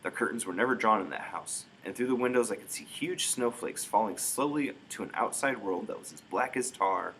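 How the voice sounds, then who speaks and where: A man reading a story aloud in expressive narration, with dramatic emphasis. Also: lowish voice, a little fast, hardly any pauses, a little hiss or hum, low-quality recording. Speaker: storybook reader.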